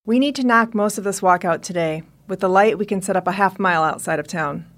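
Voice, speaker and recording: A woman's voice: medium pitch, fairly quick, lightly acted. An actress playing a tough, distrustful middle-aged mother in a post-apocalyptic audio drama, talking in a blunt, wary way. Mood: firm, businesslike. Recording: music under the voice.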